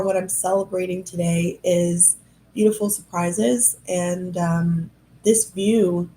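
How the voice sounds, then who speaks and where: Gravelly, stuffy-nosed woman host chatting live. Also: medium pitch, mellow, slight background noise, low-quality recording. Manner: chatty, rambling, self-amused. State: nasal from a head cold. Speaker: podcast host. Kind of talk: casual livestream chat. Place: high-rise apartment indoors.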